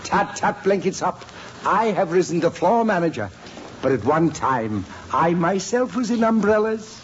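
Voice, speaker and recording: A man's voice: sits high, big pitch swings, a little slow. A man playing a pompous, greedy department store floor manager who talks with plummy self-importance in a 1950s radio sitcom. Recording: muffled old radio recording, faint hiss.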